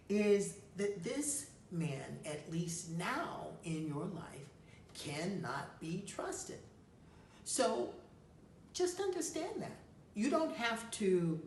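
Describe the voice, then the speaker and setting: A woman giving advice as a coach and host, speaking in a deliberate, emphatic way to answer a listener. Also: low voice. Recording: roomy echo, distant mic, faint noise.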